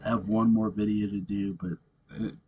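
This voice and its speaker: A man giving a theatrical, measured read-aloud of comic holiday verse, like a storyteller. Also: fairly low pitch, level tone, warm tone, breathy edge, muffled recording. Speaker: host of a fan channel reading aloud.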